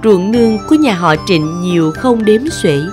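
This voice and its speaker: A woman reading scripted narration for an animated folk tale. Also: mid-range voice, normal speed, music in the background.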